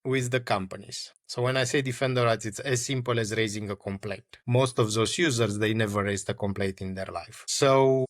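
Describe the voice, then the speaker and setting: A man's voice: lowish voice, normal speed, few pauses, dry recording, clean studio sound. A man from Romania, a startup founder answering interview questions with frequent fillers and restarts. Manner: hesitant, many fillers and restarts.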